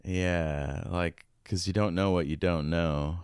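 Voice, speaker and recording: Man, very low pitch, smooth clear voice, podcast co-host, casual book talk, informal musing. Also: fairly even intonation, slow, darkish tone, no room sound, clean studio sound.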